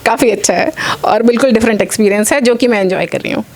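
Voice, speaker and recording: A woman's voice: a little high, quick pace, darkish tone, slight background noise. A woman in her late forties answering interview questions earnestly, mixing English phrases into her speech. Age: late 40s. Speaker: interview guest, athlete and former air force officer.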